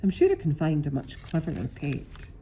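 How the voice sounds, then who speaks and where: A fed-up female storybook parrot, put on by a woman reader, exasperated and feisty. Her pitch swoops about in exclamations, with a soft, mellow edge to the tone. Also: wildly swooping pitch, moderate pace, a little hiss or hum, full character voice, low-quality recording. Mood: disappointed. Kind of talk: picture-book character voice.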